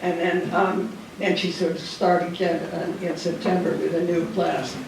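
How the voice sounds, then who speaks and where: An older man giving a rambling, halting talk about his childhood, with many restarts and fillers, recorded in a large hall at a dinner event. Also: sits high, flat delivery, vocal fry, noisy background. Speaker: guest speaker. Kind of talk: personal wartime memoir talk. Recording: echoey hall heard from a distance.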